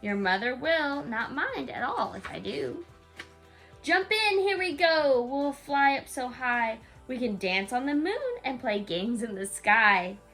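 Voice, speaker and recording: A woman with a fairly high voice reads a rhyming picture book at bedtime. Her delivery is sing-song and aimed at a small child, slipping into casual, expressive asides. Soft music plays behind her. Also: a little slow. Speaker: parent reading a picture book aloud to a young child. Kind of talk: bedtime story read-aloud with casual asides.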